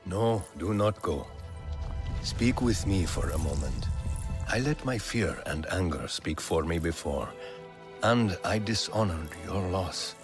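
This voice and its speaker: A stern, grieving alien clan elder from a video game, his voice very low. He speaks in a grave, measured way, with faint music underneath. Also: lively intonation. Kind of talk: video game cutscene acting.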